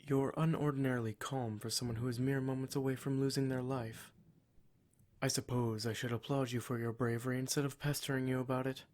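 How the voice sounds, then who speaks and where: A man's voice: mid-range voice, little pitch movement, brisk, darkish tone, natural voice. A man playing a cruel, smug demon in a scripted ASMR roleplay, speaking in a formal, mock-polite and menacing way. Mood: smug, condescending. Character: cruel, smug male demon, taunting captor of adventurers.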